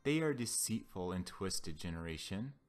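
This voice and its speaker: A man giving a solemn scripture reading as a Bible reader, with deliberate, declamatory phrasing. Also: low-pitched, animated. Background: background music.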